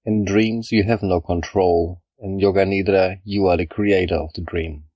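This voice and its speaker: A man leading a scripted guided meditation, speaking in a calm, measured, instructional way with pauses between phrases. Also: deep voice, no room sound, dull audio. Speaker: yoga nidra guide.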